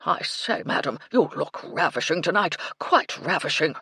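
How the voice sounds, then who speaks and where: A man's voice: a little high, animated, normal speed, creaky, pushed and bright, slightly rough, dry recording, studio quality, exaggerated voice. Woman putting on a blustery old British colonel. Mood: eager, gallant. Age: older. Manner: clipped, blustery upper-class military speech, stammers when startled.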